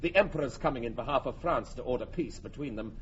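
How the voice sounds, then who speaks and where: Guy as shakespeare radio narrator, stately, medium pitch, muffled old recording with a bit of hiss. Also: fast, lightly acted. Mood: declamatory. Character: stately storytelling chorus of a history play. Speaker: narrator, chorus.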